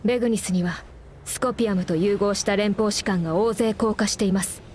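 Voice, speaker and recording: Polite, precise young woman ship officer played by a woman, even-pitched, steady. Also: a little fast, slight background noise, lightly acted. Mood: calm. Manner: polite, precise, formal reporting. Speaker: game character.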